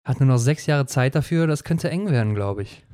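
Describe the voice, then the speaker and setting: Male co-host with a western German border accent, chatty, crisp voice, dry close sound. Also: mid-range voice, expressive, fairly quick, warm tone, no echo. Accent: German, western border region. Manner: chatty, often ends with a tag question. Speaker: podcast co-host. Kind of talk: casual sports chat.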